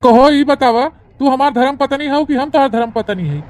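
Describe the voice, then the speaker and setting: A woman's voice: high voice, fairly quick, a little mellow, slight background noise, natural voice. A woman voicing a henpecked young newlywed husband in a comedy cartoon, whiny and exasperated, with an Awadhi accent. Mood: complaining. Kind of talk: exaggerated cartoon comedy dialogue.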